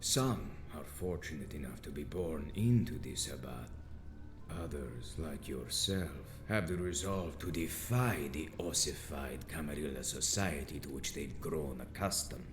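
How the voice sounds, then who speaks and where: A man's voice: a bass voice, lively intonation, unhurried, background noise. An actor playing an ancient, stern male vampire sect elder in a video game, speaking in a grave, formal and imperious way. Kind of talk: theatrical video game dialogue. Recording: roomy echo with game music behind.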